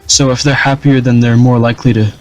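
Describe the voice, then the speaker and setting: A young man casually commenting over his strategy game, rambling with many fillers and restarts in a flat, dull-sounding voice. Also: medium pitch, a little fast, faint music behind. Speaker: gaming channel host.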